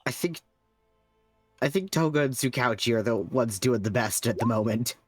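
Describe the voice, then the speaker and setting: A woman's voice: a bass voice, expressive, moderate pace, slightly rough, soft background music. A woman playing a tabletop game, chatting casually and bluntly with plenty of swearing. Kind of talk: casual group game chat.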